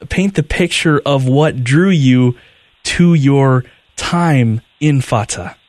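A man's voice: medium pitch, slow, darkish tone, dry recording. A man hosting a radio interview, conversational, guiding the guest with short questions and affirmations. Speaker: radio host.

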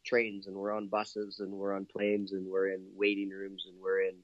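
A cheerful, chatty man from Canada speaks as an interview guest. His voice sits a little low and sounds very dry, with no room around it. The line is slightly dull and lo-fi. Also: completely flat delivery, moderate pace, no echo. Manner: upbeat, chatty, laughs often, many fillers. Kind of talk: casual interview talk.

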